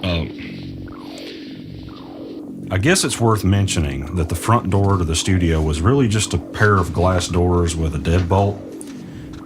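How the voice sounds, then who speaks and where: A man's voice: sits low, background noise, close to an everyday voice. A man playing an easygoing, understated recording studio guy in an audio drama. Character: laid-back young man running a recording studio, puzzled investigator. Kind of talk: naturalistic audio drama acting with first-person narration.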